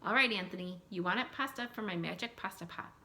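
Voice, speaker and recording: A woman voicing a firm, fair old village witch in a folktale, speaking in a measured way. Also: mid-range voice, pushed and bright. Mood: stern, calm.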